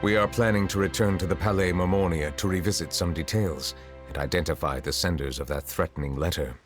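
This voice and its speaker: A man voicing a dignified, reserved chief justice in a video game, formal and composed, with measured wording. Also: low voice, steady tone, lightly acted. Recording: music behind the voice.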